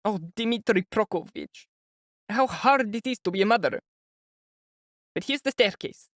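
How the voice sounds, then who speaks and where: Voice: mid-range voice, animated, unhurried, a bit bright, no echo, studio quality, pitched above the performer's own voice. A reader voicing an anxious, gushing older mother who talks in breathless exclamations. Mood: fretful. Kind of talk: audiobook character voice.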